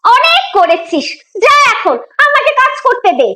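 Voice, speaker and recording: Cartoon part: scolding, exasperated mother acted by a woman, sharp and very high. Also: sing-song, measured pace, heavily acted. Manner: scolding, sarcastic. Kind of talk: children's cartoon dialogue.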